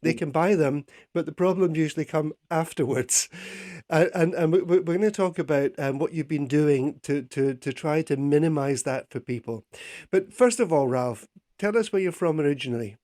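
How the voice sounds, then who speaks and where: A middle-aged man hosting a radio show, his voice sitting slightly higher than most. He stumbles and restarts often, repeating words as he goes. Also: moderate pace, mellow. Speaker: radio host. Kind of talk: radio interview.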